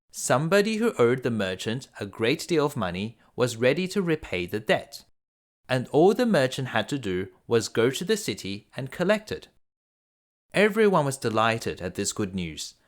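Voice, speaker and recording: Very expressive male bedtime storyteller. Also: medium pitch. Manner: expressive, deliberate phrasing. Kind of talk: reading a fairy tale aloud to children.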